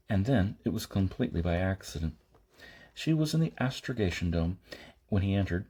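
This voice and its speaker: A man reading a science fiction novel aloud as an amateur audiobook narrator, in short phrases with pauses and the odd stumble. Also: lowish voice, darkish tone, slightly rough. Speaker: audiobook reader.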